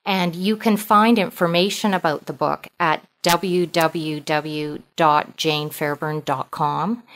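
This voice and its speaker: A woman's voice: deep voice, fairly bright tone. A woman from Toronto with a Canadian accent, speaking as an author presenting her writing. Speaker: author, podcast guest. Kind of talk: reading prose and talking about her book.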